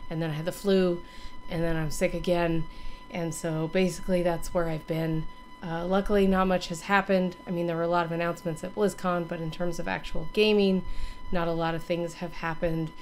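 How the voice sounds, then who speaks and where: A hoarse woman in her thirties, still recovering from illness, gives a rambling, casual channel update to a webcam from her home gaming room. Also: on the low side, low-quality recording. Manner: rambling, many ums and restarts. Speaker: gaming streamer and YouTuber.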